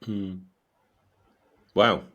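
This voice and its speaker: A man's voice: fairly low pitch, steady tone, drawn-out words, pushed and bright. A man hosting a podcast interview, from the UK, who repeats his words and restarts his questions often. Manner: stammering repeated starts. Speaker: podcast host.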